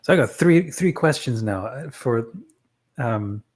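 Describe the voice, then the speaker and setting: A woman interviewing in an informal, conversational way, attentive and a little halting as she frames her questions. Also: rumbling low, expressive, darkish tone. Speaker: podcast interviewer.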